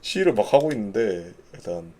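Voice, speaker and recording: A man's voice: fairly low pitch, sing-song, a little fast, darkish tone. A man hosting an informal live announcement for a gaming community, reading notes and explaining them in a conversational way while thinking aloud. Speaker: gaming clan leader hosting announcement broadcast.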